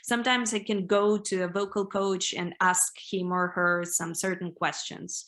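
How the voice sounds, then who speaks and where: A woman's voice: fairly low pitch, flat delivery, moderate pace, fairly bright tone. Ukrainian-accented woman chatting on a video call. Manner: chatty, many "like" and "you know" fillers. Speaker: band vocalist, interview guest. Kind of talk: casual interview answers.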